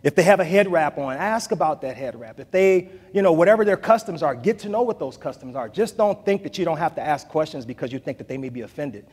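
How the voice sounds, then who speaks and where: A man's voice: fairly high pitch, lively intonation, fast, few pauses, darkish tone, breathy edge. A man in his forties giving a conversational keynote speech from a podium in a large hall, repeating phrases for emphasis. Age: 40s. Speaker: keynote speaker. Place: large hall with bookshelves, audience seated. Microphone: podium mic, filmed from the back of the room. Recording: long hall reverb.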